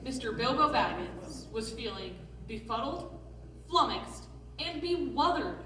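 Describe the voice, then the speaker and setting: A woman's voice: a little high, animated, unhurried. A woman narrating a scripted story as part of a readers theatre. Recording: distant mic, echoey room, faint noise.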